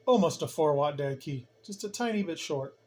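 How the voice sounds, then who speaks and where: A man's voice: on the high side, animated, normal speed, a little mellow. A man giving an unscripted hands-on equipment tutorial, plain-spoken and explaining step by step, repeating key points. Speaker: hobbyist demonstrator, channel host.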